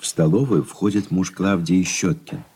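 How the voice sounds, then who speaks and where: A man's voice: low voice, fairly even intonation, normal speed, mellow, slightly rough. A man reading stage directions as the narrator of a radio drama. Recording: noisy old radio recording with clicks.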